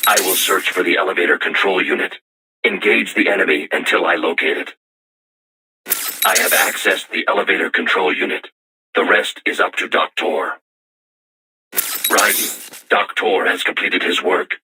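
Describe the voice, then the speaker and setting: A man voicing a solemn self-aware robot war machine in a video game, with formal, measured, stilted diction and robotic processing on the voice. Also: deep voice, fairly even intonation, noisy background. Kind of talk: scripted video game voice acting.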